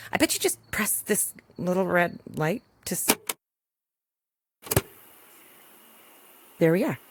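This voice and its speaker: A low, husky-voiced mother speaks in a dry, plain way. She is a protective, wry country doctor in a naturalistic audio drama. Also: heavily acted. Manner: exasperated, dry, plain-spoken.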